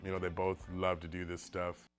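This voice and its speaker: A middle-aged man who paints shoes, talking about his work in a documentary interview in a relaxed, rambling way with many fillers. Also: sits low, normal speed, loud music behind the voice. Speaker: shoe painter.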